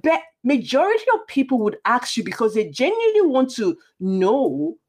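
A woman's voice: sits high, very animated, normal speed, darkish tone. A woman from Nigeria with a Nigerian accent talking conversationally as an interview guest, often repeating phrases for emphasis. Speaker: podcast guest.

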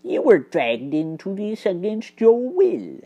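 Woman as hushed, stern gentleman mouse detective. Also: wildly swooping pitch, gravelly, breathy edge, heavily acted. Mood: stern, probing. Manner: low, hushed, measured authority. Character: calm, stern, commanding male mouse detective.

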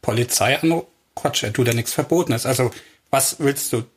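A man with a somewhat husky, breathy voice presses his point with direct questions. He talks casually but argues firmly. His pitch sits in the middle of the male range. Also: normal speed. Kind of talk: casual discussion.

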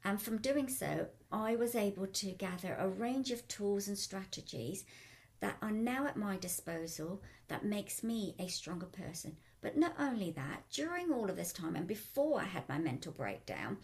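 Woman, radio host, croaky voice, upbeat, chatty, emphatic. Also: medium pitch, expressive, normal speed, darkish tone. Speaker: radio show host and life coach. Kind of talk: radio talk show monologue.

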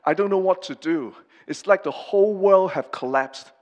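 Earnest halting pastor in a reverberant hall. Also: male voice, sits high, a little mellow, highs cut off. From Hong Kong. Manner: earnest and halting, with many pauses and restarts. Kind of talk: personal testimony sermon. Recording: long reverb of a large hall.

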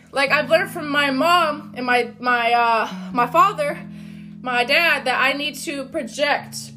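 Loud upbeat woman, high bright voice. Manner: loud, upbeat and encouraging, with laughs and casual fillers. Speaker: podcast host. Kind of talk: motivational solo podcast talk.

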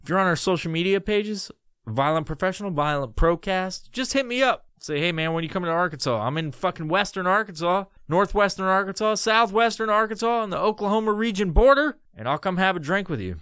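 A man's voice: sits high, fairly quick, a bit bright, smooth, dry recording, studio quality. A middle-aged man from New York hosting a podcast, swearing constantly and laughing through rambling stories. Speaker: podcast host. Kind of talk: profane storytelling monologue.

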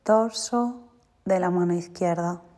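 A woman guiding a yoga nidra relaxation as a teacher, giving short, soothing, deliberate instructions. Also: lowish voice, warm tone. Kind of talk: guided yoga nidra meditation. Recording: long reverberant hall-like echo.

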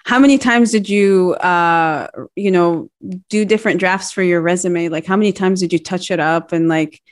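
A woman's voice: fairly low pitch, moderate pace. A woman chatting casually as a podcast co-host, teasing and asking lots of questions.

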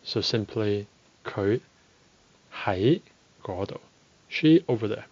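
A man teaching a podcast language lesson, speaking slowly and deliberately and repeating phrases for learners. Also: fairly low pitch, lively intonation, a little mellow, slightly rough, highs cut off.

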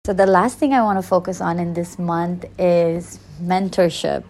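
A woman's voice: fairly low pitch, steady tone, normal speed, darkish tone. A woman in her twenties from the Philippines, speaking in an earnest, conversational way in a reflective personal podcast monologue. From the Philippines, later the United States. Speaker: podcast host.

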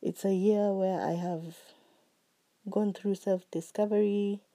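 A woman hosting her own podcast, talking slowly and earnestly about what she is grateful for, her voice still recovering from being sick. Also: mid-range voice, steady tone. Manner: slow, heartfelt and earnest, with soft trailing phrases. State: recovering voice after illness. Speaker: podcast host. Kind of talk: reflective solo podcast monologue.

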